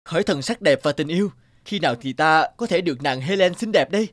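A man's voice: fairly high pitch, lively intonation, fast talker, background noise, lightly acted. A man playing an ardent young prince in a fairy-tale cartoon, declaiming his lines dramatically. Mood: longing. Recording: music behind the voice.

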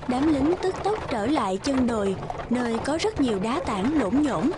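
A woman's voice: sits high, animated, moderate pace. A woman narrating a scripted fairy tale. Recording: music behind the voice.

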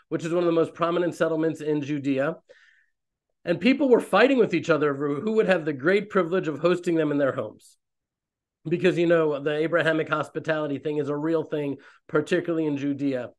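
A man in his thirties, a rabbi hosting an online fellowship, talking in a loose, rambling, animated way on a webcam from a small room. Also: on the high side. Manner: rambling, animated, self-interrupting. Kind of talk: casual online talk to a community. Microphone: webcam on a video call.

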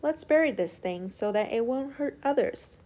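Young male turtle hero for a storybook, high, eager, polite, earnest played by a woman. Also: expressive, normal speed, warm tone. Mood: determined. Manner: eager, pleading, exclamatory.

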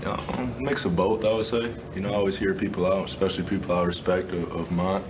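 A man in his twenties, a basketball player, answering questions at a postgame press conference in a low-key, measured way, with lots of "you know" and "um". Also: steady tone, noisy background. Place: press room.

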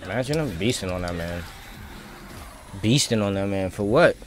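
A man's voice: on the low side, expressive, normal speed, faint music behind. A man giving casual live gameplay commentary as a streamer, thinking aloud in informal, slangy talk. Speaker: video game streamer.

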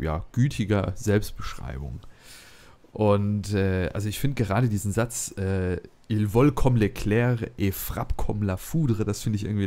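A man's voice: lowish voice, dark tone. A man hosting a let's play, chatting casually and thinking aloud with many fillers. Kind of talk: casual gameplay commentary.

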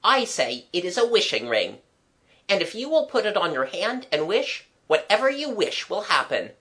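A woman's voice: mid-range voice, very animated, moderate pace, a bit bright, pitched above the performer's own voice. A woman voicing a cocky, matter-of-fact schoolboy in a storybook, with a blunt, slangy way of talking. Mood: confident.

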